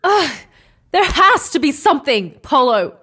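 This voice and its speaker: A woman's voice: sits high, very animated, a little slow, bright, forceful tone, full character voice. A woman acting a determined, stubborn space explorer in a sci-fi audio drama, pleading and insistent. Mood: desperate.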